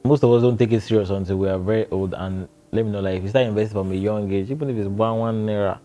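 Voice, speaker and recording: Nigerian-born co-host, low dark voice, relaxed, faint music bed. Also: male voice, fast. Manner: laid-back, asks questions, agrees often. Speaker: podcast co-host. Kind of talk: casual chat.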